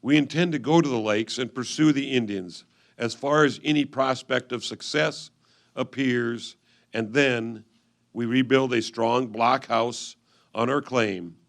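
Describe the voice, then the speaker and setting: A man reading old letters aloud in a reader's theatre style, with a solemn, measured delivery. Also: medium pitch.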